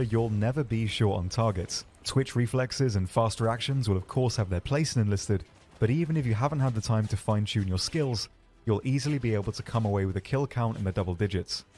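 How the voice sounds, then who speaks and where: A man narrating a scripted game showcase as a developer, explaining features in a measured way. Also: fairly low pitch, darkish tone, slight background noise. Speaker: game developer presenting his team's game. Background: background music.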